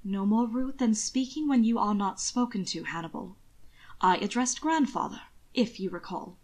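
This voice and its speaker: A woman's voice: mid-range voice, normal speed, natural voice. A woman voicing a cunning aristocratic lady in an audiobook story, cool, formal and falsely innocent. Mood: cool rebuke.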